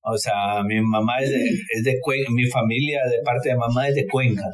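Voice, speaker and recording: A man's voice: medium pitch, steady tone, moderate pace, crowd noise. A man from Ecuador, chatting casually on a podcast in a rambling way with many restarts. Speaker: podcast co-host. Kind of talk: casual chat.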